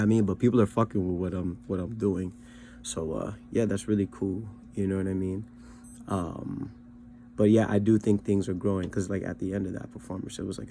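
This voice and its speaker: Laid-back slangy young man, low gravelly voice. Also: warm tone, slight background noise. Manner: laid-back, slangy, many fillers like "you know what I mean". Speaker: rapper learning to sing, vlog host. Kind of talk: casual vlog recap.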